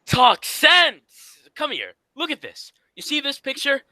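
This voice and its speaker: Woman playing high-pitched, exasperated comedy straight man. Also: big pitch swings, takes it slowly, sharp tone, no echo, heavily acted. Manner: scolding, emphatic, repeats phrases. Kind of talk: old-time radio comedy double act.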